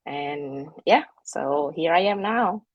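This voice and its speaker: Woman from bali, deep-ish voice, some pauses and uhs. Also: expressive, dry recording, highs cut off. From Bali, Indonesia. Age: 40s. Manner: hesitant, with fillers. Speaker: panel guest. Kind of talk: self-introduction.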